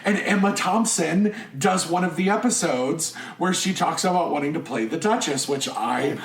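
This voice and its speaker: An excitable man in casual podcast chat, his voice sitting a little high and bouncing around an echoey room. He gushes with plenty of likes and ums. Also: normal speed. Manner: chatty, enthusiastic, many fillers. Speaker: podcast co-host.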